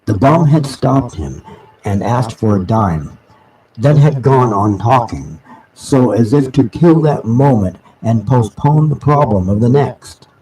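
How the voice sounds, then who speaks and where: A man reading a novel aloud live as dramatic narration, with deliberate, halting phrasing. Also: medium pitch, expressive, crowd noise. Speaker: reader of an audiobook. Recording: noisy amateur live recording with background ambience. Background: background ambient sounds.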